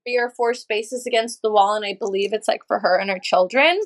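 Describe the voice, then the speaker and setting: A woman from Los Angeles, a podcast guest, telling stories in a lively, casual way with easy swearing and laughter. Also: medium pitch, brisk. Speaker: podcast guest, energy healer and channeler. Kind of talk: casual storytelling chat.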